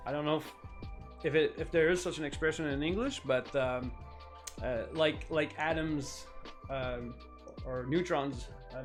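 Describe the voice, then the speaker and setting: A man's voice: fairly high pitch, fairly bright tone. Chatty French-speaking man, light music behind. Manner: chatty, rambling, many fillers and restarts. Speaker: streamer. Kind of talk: casual live-stream chat while building.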